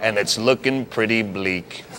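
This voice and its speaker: A man in his thirties hosting a prank segment, interviewing couples in a deadpan, mock-serious way. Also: lowish voice, a little slow, slight background noise. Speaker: prank show host. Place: city street and studio set.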